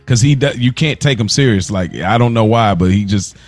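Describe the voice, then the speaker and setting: A man's voice: fairly low pitch, brisk. An American man co-hosting a podcast, giving his opinions in casual slang and repeating words for emphasis. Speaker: podcast co-host.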